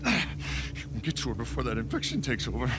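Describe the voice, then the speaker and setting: An actor playing a burly middle-aged raider in a video game, talking in a blunt, urgent, haggling way. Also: male voice, medium pitch, big pitch swings, normal speed, heavy background noise, lightly acted. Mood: strained bargaining. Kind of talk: naturalistic video game acting.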